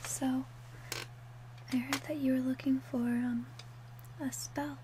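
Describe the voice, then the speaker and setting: A woman softly half-whispering in an ASMR roleplay as a calm, helpful witch, with a gentle, deliberate delivery. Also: sits high, noisy background. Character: calm, helpful female witch selling love potions.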